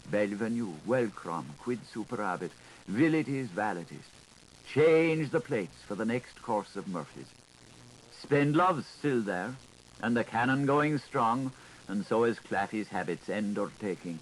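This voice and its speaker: A man reading a literary audiobook as a dramatic monologue, in an expressive, musing and intimate way with shifting emphasis. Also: medium pitch. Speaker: audiobook reader. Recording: noisy 1980s audiobook recording.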